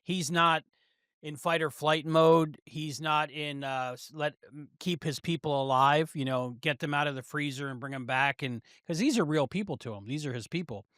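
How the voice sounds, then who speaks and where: Male co-host, bright and forceful, slightly high for a man, casual fan-review chat, very dry sound. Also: normal speed, no echo. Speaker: podcast co-host.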